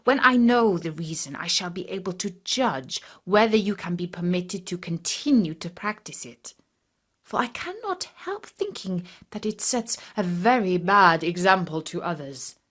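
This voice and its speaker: A woman voicing a dignified, curious male Caliph, commanding yet gentle, in formal and measured storybook speech. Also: on the low side. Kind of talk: children's storybook reading.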